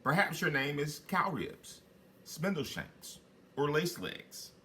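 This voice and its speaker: A man's voice: on the high side, sing-song, takes it slowly, sharp tone, slight background noise, pitched above the performer's own voice, exaggerated voice. A man voicing a distressed young maiden, a plaintive miller's daughter in a fairy tale. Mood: hopeful guessing.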